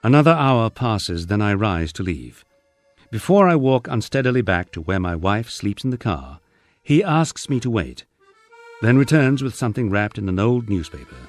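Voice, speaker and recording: A man with a low voice reads a first-person memoir, his pitch rising and falling expressively as he tells it with dry humor. Faint music plays softly behind him. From England. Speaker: audiobook narrator.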